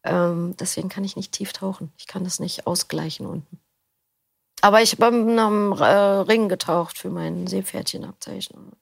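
A woman's voice: mid-range voice, normal speed, gravelly, no echo, studio quality. A middle-aged woman answering interview questions in a thoughtful, rambling way, often restarting her sentences. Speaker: interview guest, audiobook narrator.

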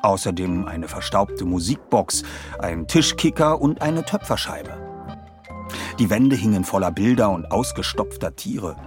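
A man narrating a scripted story like an audiobook, expressive and measured. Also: low voice, over music.

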